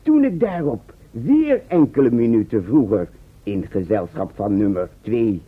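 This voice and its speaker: Husky, swooping self-assured eccentric explainer. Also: male voice, mid-range voice, sing-song, unhurried. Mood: storytelling. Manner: didactic, self-assured, checking listeners follow. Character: eccentric self-duplicating time traveller, male, patiently explaining. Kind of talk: Dutch radio play acting. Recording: muffled, band-limited old radio recording.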